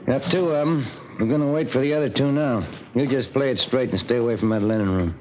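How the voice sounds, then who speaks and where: An actor playing a police detective's partner in a 1950s radio crime drama, with a dry, understated way of talking. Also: male voice, mid-range voice, quick pace, lightly acted. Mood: businesslike. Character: laconic, grumbling male police detective partner. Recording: dull, slightly noisy vintage radio recording.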